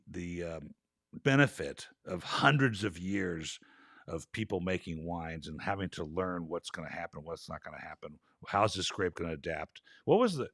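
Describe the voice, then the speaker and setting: A male host in a casual interview chat, a little raspy from travel, chatty and rambling. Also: deep voice, no room sound, studio quality. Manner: chatty, rambling, laughs easily.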